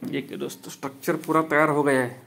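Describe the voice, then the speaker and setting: Installer showing off his work: a man, even-toned, rough and gravelly, outdoors with a phone mic. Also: a little high, fast talker. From Maharashtra, India. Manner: plain, repetitive, addresses viewers as friends. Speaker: solar installer and business owner. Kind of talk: walkthrough video commentary. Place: outdoor rooftop.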